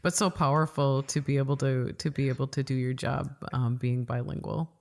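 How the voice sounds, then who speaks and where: A middle-aged woman hosting a podcast interview, speaking in a relaxed way with frequent murmurs of agreement and filler words. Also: extremely low pitch, moderate pace. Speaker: podcast host. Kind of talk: casual interview chat.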